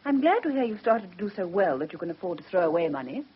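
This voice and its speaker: An actress playing a British barrister's wife in a radio play, tart, challenging and highly strung. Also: female voice, big pitch swings, fast talker, natural voice. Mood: tart, sarcastic. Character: sharp-tongued, anxious barrister's wife and mother. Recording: dull, noisy old radio drama.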